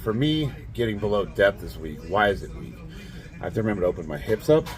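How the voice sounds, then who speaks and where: A man in his late forties with a raspy, nasal, stuffed-up voice, rambling bluntly and laughing often as he talks to camera. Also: on the low side, sing-song, quick pace, music in the background. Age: 40s. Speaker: fitness vlogger. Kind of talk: casual monologue to camera.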